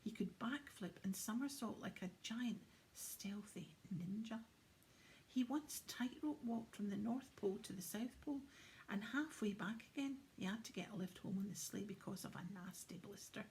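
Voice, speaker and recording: A woman narrating a children's storybook in an expressive, often hushed and dramatic way. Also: mid-range voice, normal speed, slight background noise, low-quality recording. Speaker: storybook reader.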